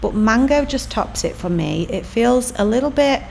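A woman, narrating a fashion vlog in a relaxed, chatty way with little fillers. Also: fairly high pitch, normal speed, vocal fry, a little hiss or hum. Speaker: fashion vlogger. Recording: voice over background music.

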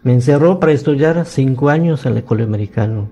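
A man in his nineties from Yucatán whose first language is Maya, speaking Spanish with a Yucatec accent as he slowly recounts his life story in a radio studio interview. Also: medium pitch, dark tone. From Yucatán, Mexico. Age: 90s.

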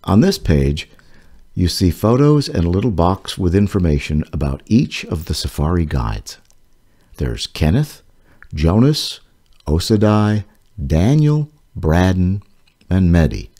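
A man reading his own children's picture book aloud, in a gentle storytelling manner with a lively rise and fall. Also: low-pitched, a little slow. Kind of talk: slow children's read-aloud. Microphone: voice-over over book pages.

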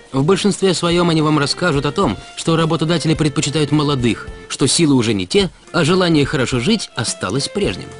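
Male narrator for an animated explainer, mid-pitched, animated intonation, didactic and deliberate, light music bed. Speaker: narrator of an educational cartoon about personal finance. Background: background music.

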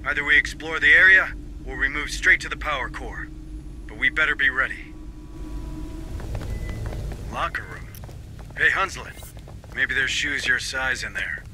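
A man's voice: medium pitch, measured pace, pauses often, lightly acted. An actor playing a marine sergeant in a video game, commanding his squad with dry humor. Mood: wry, commanding. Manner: commanding, dryly joking. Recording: faint background noise.

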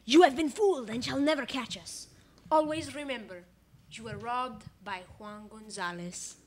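A woman's voice: a little high, normal speed, close to an everyday voice. A woman playing a boastful, gloating male train robber in a live student radio play, with theatrical glee. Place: small studio, indoors. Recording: clearly noisy studio recording.

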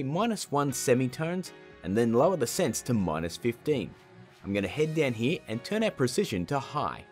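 A man in his thirties hosting a casual video tutorial, playful, chatty and informal in his delivery. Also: mid-range voice. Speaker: tutorial host.

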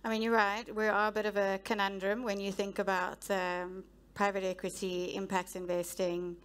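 A South African woman in her thirties, a procurement head answering interview questions on a conference stage in a measured, businesslike way with frequent ums, through a headset mic. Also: medium pitch, level tone, fairly bright tone, clean tone. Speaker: guest speaker, head of procurement. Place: stage of a large conference hall.

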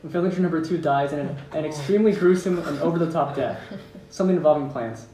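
A man narrates stage directions at a table read. His voice is a bit high. He sounds slightly distant in an echoey room with faint background noise. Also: fast talker, dark tone.

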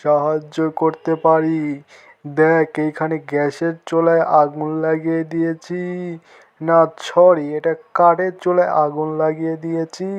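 A man's voice: on the high side, clear, resonant tone, lo-fi sound, lightly acted. A man voicing a blunt, protective, obedient monster guard in a cartoon, insistent and reassuring. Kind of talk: cartoon dialogue.

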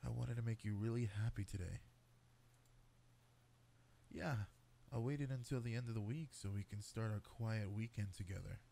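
A man playing an affectionate cat-boy boyfriend in an intimate sleep-aid roleplay, speaking softly and coaxingly. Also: on the low side, normal speed, vocal fry, pauses often, slight background noise. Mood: doting, playful. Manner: soft, tender, coaxing, intimate. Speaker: roleplay voice actor.

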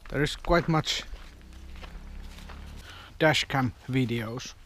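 A man's voice: mid-range voice, fairly bright tone, a little hiss or hum. A man, vlogging outdoors from a camera held at arm's length, musing in a rambling, wry way. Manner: rambling, wry, unhurried musing with short fragments. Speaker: van life vlogger. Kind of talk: casual walking vlog. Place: outdoors in a quiet village park and street.

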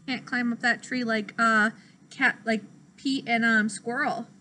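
Young female primary teacher for story time: high, bright, expressive and deliberate, classroom recording with faint noise. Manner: expressive, deliberate, child-directed. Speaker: teacher reading a picture book. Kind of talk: children's read-aloud. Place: small classroom, indoors.

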